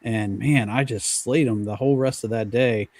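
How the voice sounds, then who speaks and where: American male panelist, mid-pitched, dry close sound, hesitant casual storytelling. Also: fairly even intonation, moderate pace, darkish tone, dry recording. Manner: hesitant, searching for words. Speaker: fishing podcast panelist. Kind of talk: casual anecdotal chat.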